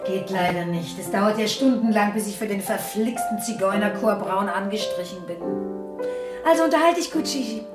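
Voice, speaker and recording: A woman's voice: mid-range voice, lively intonation, normal speed, close to an everyday voice. An actress playing a breezy, resigned middle-aged mother who sings in opera, theatrical in manner, in a 1970s radio play. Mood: breezy, motherly. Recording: echoey room, prominent music behind.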